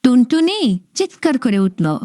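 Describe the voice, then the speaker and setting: A woman narrating a scripted children's story in an expressive storybook manner. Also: sits high, a little slow, faint music behind.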